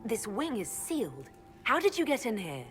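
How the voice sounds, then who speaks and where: A woman's voice: a little high, very animated, normal speed, fairly bright tone. A woman playing a composed female elf mage who leads others in a fantasy game, direct, questioning and controlled. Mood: composed, probing. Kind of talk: fantasy game cutscene acting. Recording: music behind the voice.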